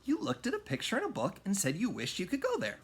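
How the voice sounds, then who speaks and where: A man puts on a young storybook girl who is sure of herself. The delivery is breezy and matter-of-fact, with lively rises and falls in pitch. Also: fast, breathy edge, full character voice.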